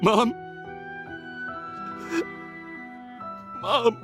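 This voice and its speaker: A man's voice: very high-pitched, slow, over music, full character voice. A man acting a distraught grown son in a video game, pleading in a faltering, dramatic way. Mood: anguished. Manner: faltering, pleading, repeated words.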